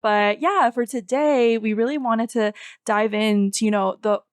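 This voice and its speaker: Woman, podcast co-host, effusive, smooth clear voice, dry sound. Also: a little high, unhurried, no echo. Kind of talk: casual podcast conversation.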